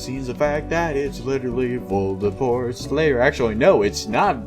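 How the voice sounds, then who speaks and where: Sweary man reading aloud, music behind. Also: medium pitch. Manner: sweary, exasperated asides. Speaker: host reading a fan fiction aloud with commentary. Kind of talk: casual mocking read-aloud commentary.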